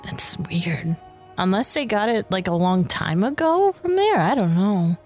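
A woman's voice: mid-range voice, expressive, measured pace, darkish tone, faint music behind. A woman playing in a tabletop role-playing podcast, talking casually, partly in character in her everyday voice. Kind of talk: casual in-character game chat.

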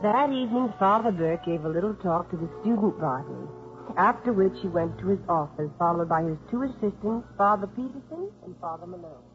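An actress playing a kindly social worker, narrating a 1950s radio drama in a wistful, expressive way. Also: female voice, on the low side, brisk, over loud music, lightly acted. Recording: muffled 1950s radio broadcast.

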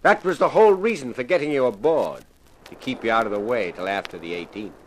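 An actor playing a courteous but coldly menacing yacht captain in a 1950s radio crime drama. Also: male voice, mid-range voice, animated, fairly quick, a little hiss or hum, lightly acted, low-quality recording.

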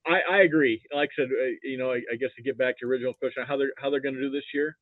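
An older American man chatting casually about sports as a podcast guest, halting and repeating himself, over a thin call connection. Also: mid-range voice, steady tone, no room sound. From Nebraska, United States. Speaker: podcast guest, football fan. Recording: thin, narrow phone-like line.

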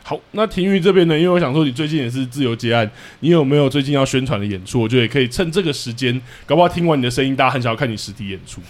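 A man's voice: medium pitch, expressive, racing, hardly any pauses. A man with a Taiwanese Mandarin accent, hosting a podcast and interviewing guests in casual chat. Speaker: podcast host.

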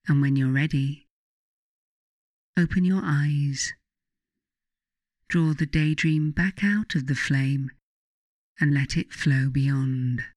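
A narrator guiding a meditation from a script in a soothing, deliberate, instructing manner. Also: medium pitch, no room sound, studio quality. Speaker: author narrating a guided meditation.

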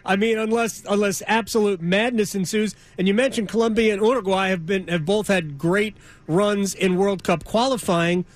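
A man hosting a casual sports talk interview, speaking in a halting way and repeating words as he goes. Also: sits high, steady tone.

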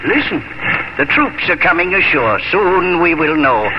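An actor playing a warm, dignified elderly father and village leader in a 1950s radio drama. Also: a little high, big pitch swings, unhurried, noisy background. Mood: earnest. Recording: dull old radio recording, music behind.

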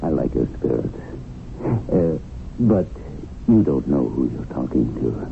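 Gravelly, rock-bottom-deep smug devil. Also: male voice, big pitch swings, measured pace, heavily acted. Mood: smug menace. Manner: smug, mock-apologetic, taunting. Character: confident, boastful male agent of evil, a devilish tempter. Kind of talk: radio drama acting. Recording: tinny, band-limited radio recording with faint noise.

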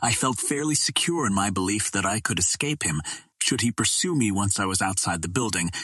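Low, husky male audiobook narrator. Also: sharp tone, no echo. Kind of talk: scripted narration of a pulp adventure novel.

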